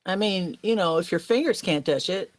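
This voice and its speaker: Male podcast panelist, high-pitched, dry sound, blunt and playful in loose group banter. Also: normal speed, no room sound. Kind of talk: casual banter on a livestream.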